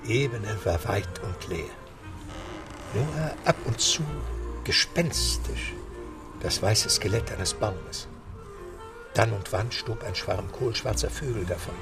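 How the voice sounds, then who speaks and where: A man narrating a radio play in a measured storytelling delivery. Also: fairly low pitch, animated. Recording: narration over music.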